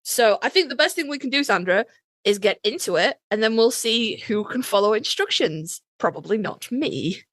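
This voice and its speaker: A woman with a slightly high voice chats casually as a podcast co-host. She banters playfully and pokes fun at herself. The sound is dry and close, with no room around it. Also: animated, moderate pace, fairly bright tone, no room sound. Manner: playful, bantering, self-deprecating. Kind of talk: casual chat.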